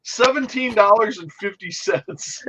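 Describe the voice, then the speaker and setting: High, bright-voiced joking man. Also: animated, measured pace, husky, poor audio. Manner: wry, joking storyteller. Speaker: co-host. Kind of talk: casual chat.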